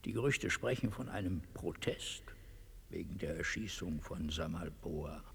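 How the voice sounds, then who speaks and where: An actor playing an imperious, coldly courteous Latin American dictator in a radio play, husky, gravelly and formally menacing. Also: male voice, deep voice, animated, unhurried, a little hiss or hum, exaggerated voice. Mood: grave, calculating. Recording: echoey hall with long reverb.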